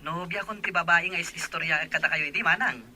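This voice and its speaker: A man acting a sincere young working man in love in a radio drama, soft-hearted and earnest. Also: on the high side, fairly quick, slight background noise. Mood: happy.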